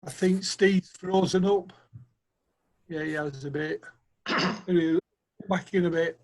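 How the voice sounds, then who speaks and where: A man's voice: high voice, fairly even intonation, unhurried. A man chairing a casual video call meeting, making announcements with many hesitations. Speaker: group organiser chairing a meeting. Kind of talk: casual chat.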